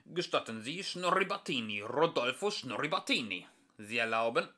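A man reads the part of a gentle, courteous little gentleman from a storybook. His voice is bright and very animated in pitch. He speaks politely, with a sense of wonder. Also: normal speed. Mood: formal, polite.

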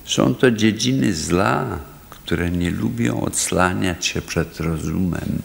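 A deep, gravelly, brooding village elder. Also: male voice, long reverb tail, slight background noise, full character voice. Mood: grave, uncertain. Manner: solemn, measured storytelling. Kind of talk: theatrical radio drama acting.

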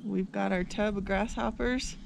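A woman's voice: mid-range voice, fairly even intonation, moderate pace, vocal fry, noisy background. A middle-aged woman chatting casually outdoors as a co-host. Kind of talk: casual chat. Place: outdoors in forest and by a creek.

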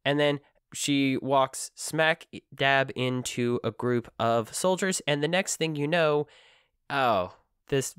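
A man co-hosting a book-club podcast, chatting in a playful, animated way. His voice sounds worn out but still smooth. He is recorded close up in a dry room. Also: mid-range voice, takes it slowly, dry recording. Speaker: podcast co-host. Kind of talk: casual book-club chat.

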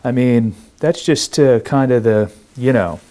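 A man's voice: medium pitch, unhurried, dark tone, close to an everyday voice. A middle-aged man playing a blunt, profane state politician chatting casually in a dramatized phone call. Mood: measured, frank. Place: small kitchen, indoors.

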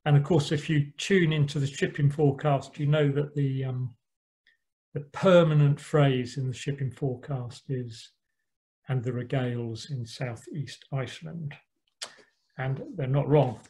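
A middle-aged man giving a relaxed slide talk about a sailing voyage, with frequent ums and dry asides. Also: medium pitch, measured pace. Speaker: yacht club speaker. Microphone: online call microphone.

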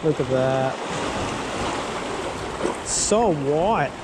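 A man giving casual running commentary while fishing on a rocky breakwall, recorded on a head-mounted action camera, breaking into excited whoops when a fish bites. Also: fairly high pitch, very slow. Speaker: fishing vlogger. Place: outdoors on a rocky breakwall by the sea. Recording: outdoor wind and water noise.

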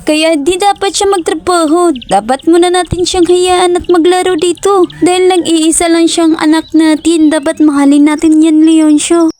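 A woman's voice: very high-pitched, fairly even intonation, moderate pace, darkish tone. A woman voicing a protective, doting mother in a cartoon story who nags and coaxes her son. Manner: nagging, urging and coaxing.

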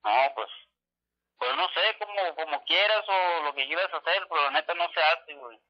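Lo-fi phone call, man, fairly high voice, northern Mexican accent, casual slang, evasive. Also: expressive, moderate pace. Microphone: telephone call. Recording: dull lo-fi telephone line.

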